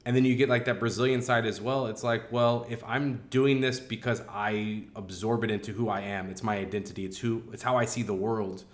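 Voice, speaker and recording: Man, art educator, low voice, rapid chatty delivery, lots of filler words, echoey room. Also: fairly even intonation. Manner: fast, chatty, many "like" fillers. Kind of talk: casual conversation.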